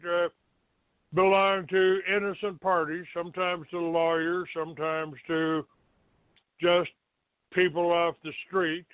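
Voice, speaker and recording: A male talk show host speaks slowly in a smooth, clear voice, halting as he grumbles through his monologue and reads out notices bluntly. The sound is thin and narrow like a telephone, with a roomy echo around him. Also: a little high. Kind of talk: rambling monologue, reading out a written notice.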